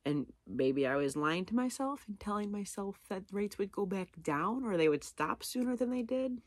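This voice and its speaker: A woman's voice: mid-range voice, lively intonation, normal speed, dry recording, studio quality. A middle-aged woman chatting casually and unscripted to camera, rambling and self-deprecating as she thinks aloud. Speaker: vlogger. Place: living room at home, on a couch.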